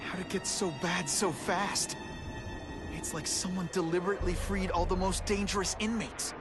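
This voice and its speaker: Casting: male actor as young American hero for a video game, high register, quick with a quip, sincere and resolute. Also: steady tone, natural voice. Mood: concerned, determined. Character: young male superhero, quippy, earnest, determined. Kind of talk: video game cutscene acting. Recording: music behind the voice.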